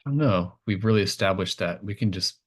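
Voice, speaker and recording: A man with a low voice runs a tabletop roleplaying game. He narrates casually and off the cuff, halting as he thinks aloud, and encourages his players. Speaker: game master.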